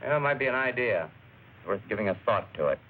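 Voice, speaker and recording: A man's voice: medium pitch, expressive, fast talker, natural voice. An actor playing a curt, world-weary narcotics investigator in a film noir crime drama, clipped and commanding, hesitating over his questions. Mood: weary, deliberating. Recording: muffled narrow-band old recording, faint noise.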